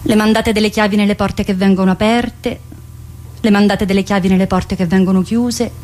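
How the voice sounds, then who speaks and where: A woman's voice: medium pitch, fairly even intonation, normal speed, background noise. A woman, an actress, reciting a theatrical monologue, in short clipped fragments. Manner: clipped, listing sensory fragments.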